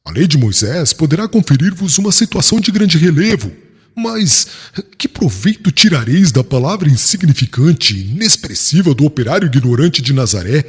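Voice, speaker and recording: A man's voice: a little high, exaggerated voice. A man playing a proud, scornful religious prosecutor in an audiobook, speaking formally and severely. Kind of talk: dramatized audiobook acting, archaic formal speech. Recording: long hall reverb, slightly husky sound.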